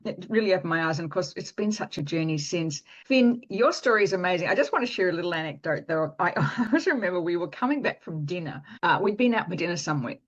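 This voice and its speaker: Woman, podcast host, lower voice, enthusiastic, chatty, slightly dull lo-fi audio. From Canada. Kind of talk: podcast interview.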